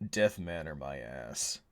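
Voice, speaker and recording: A man playing a cocky, protective, hot-headed hero in an audio roleplay, husky and sardonic with an urgent delivery. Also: rumbling low, fairly bright tone, heavily acted.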